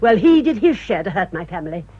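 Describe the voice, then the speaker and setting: An actress playing a proud, bitter older English spinster in a radio drama, with indignant, cutting rhetoric. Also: female voice, mid-range voice, very animated, quick pace, dark tone, husky, dull audio. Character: bitter, proud spinster nursing old family grudges.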